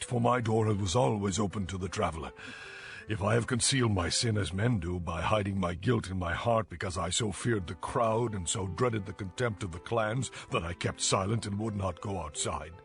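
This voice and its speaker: A man's voice: fairly low pitch, vocal fry. An actor playing an anguished righteous man solemnly declaring his innocence in a dramatized audio Bible.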